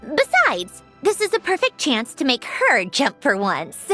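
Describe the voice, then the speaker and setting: A clever, composed young planner in a video game. Her voice is on the high side with animated pitch. She sounds polite and earnest with a touch of awkwardness, and music plays behind her. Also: measured pace, exaggerated voice. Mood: earnest, hesitant. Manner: polite, earnest, hesitant at times.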